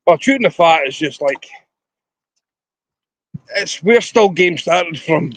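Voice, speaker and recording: A man's voice: fairly high pitch, expressive, takes it slowly, a bit bright, no room sound, low-quality recording. A Scottish man chatting to viewers on a casual live stream outdoors by a river, rambling as he talks into his phone. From Scotland. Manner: rambling, chatty, talking to viewers while walking.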